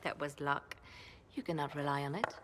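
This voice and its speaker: A woman's voice: sits low, steady tone, normal speed, a bit bright, a little hiss or hum. An actress playing a haughty, competitive noblewoman diplomat in fantasy game dialogue, prim and formal. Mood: indignant, proud. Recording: game audio with clear background ambience.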